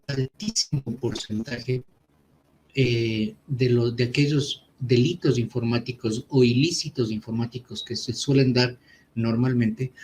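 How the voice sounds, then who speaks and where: Slow, measured systems engineer explaining. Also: male voice, medium pitch, a little mellow, a little hiss or hum, low-quality recording. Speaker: systems engineer, invited guest. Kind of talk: conference explanation. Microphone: video call.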